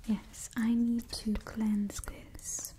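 A woman in her twenties doing an ASMR roleplay in a soft, breathy whisper, close to the mic, soothingly repeating her phrases. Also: on the high side, level tone, extremely slow. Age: 20s. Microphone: close-up ASMR microphone.

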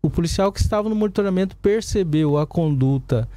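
A Brazilian man in his forties explaining things as a police commander in a studio interview, haltingly and with frequent tag questions. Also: sits high, animated, mellow. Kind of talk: live studio interview answers. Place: small indoor broadcast studio. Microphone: desk gooseneck microphone.

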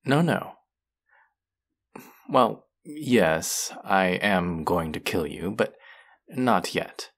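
Man acting a slow, deep-voiced menacing fantasy villain. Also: big pitch swings, vocal fry, fairly bright tone, heavily acted. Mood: threatening. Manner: slow, deliberate, sardonic, dropping into whispers. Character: menacing, brilliant male fantasy villain, vengeful and mocking. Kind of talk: audio roleplay acting, intimate and threatening.

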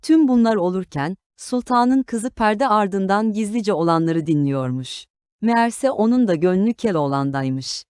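Animated woman storyteller with a clear voice. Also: medium pitch, measured pace, no echo, clean studio sound. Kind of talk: scripted fairy tale narration for children.

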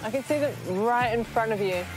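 A woman's voice: on the high side, brisk. A woman chef from New Zealand in her thirties leads a forage through thick forest. She sounds upbeat and teasing, with music underneath. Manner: upbeat, encouraging, dry humor. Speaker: chef guide. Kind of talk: casual on-location chat. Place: outdoors in dense forest.

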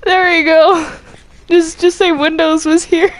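Very high-pitched, giggly young-sounding woman gamer. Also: little pitch movement, takes it slowly, slight background noise. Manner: giggly, exclaiming. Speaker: player in a Minecraft roleplay group. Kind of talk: casual in-game chat with friends.

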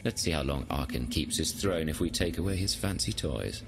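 An actor playing a ruthless, commanding alliance leader in a video game, blunt, terse and suspicious. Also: male voice, very deep voice, animated, normal speed, gravelly, slight background noise, heavily acted. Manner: terse, challenging. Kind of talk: video game cutscene acting. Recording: game music behind.

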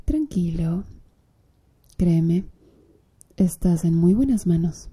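A slow, flirty tattoo artist with a dark, mellow tone, as a woman plays her for an ASMR roleplay. She speaks softly and breathily, teasing her client with easy confidence. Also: fairly low pitch, animated, exaggerated voice. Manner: soft, breathy, teasing with little laughs.

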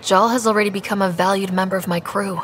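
An actress playing an earnest, resolute young human space explorer in a video game, with a natural conversational delivery. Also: female voice, medium pitch, steady tone, fast talker, slight background noise, close to an everyday voice. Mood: confident. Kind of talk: dramatic game voice acting. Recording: music under the voice.

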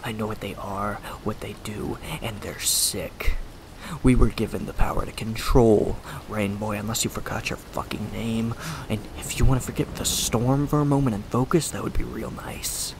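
An actor in an audio drama playing a bitter, hunted superhuman rebel with fierce conviction and an intense, hushed, menacing delivery. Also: medium pitch, fairly even intonation, normal speed, background noise, lightly acted. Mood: intense, resentful.

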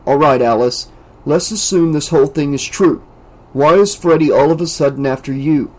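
A reader voicing an easygoing, skeptical teenage boy who talks in halting bits. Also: medium pitch, natural voice, rough recording. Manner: hesitant, halting.

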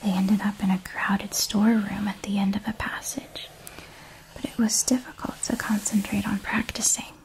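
A woman reading a children's story aloud in a soft, calm ASMR whisper. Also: mid-range voice, poor audio. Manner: slow, calm and hushed.

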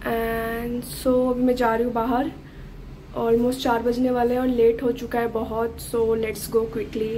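Young woman vlogging on her phone, kinda high but flat-ish voice, chatty, lots of traffic noise behind. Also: takes it slowly, low-quality recording. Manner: chatty, mixes English into Hindi, frequent fillers. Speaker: travel vlogger. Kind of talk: casual travel vlog, talking to camera. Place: on the move: train, hotel room, outdoor park and lakeside street.

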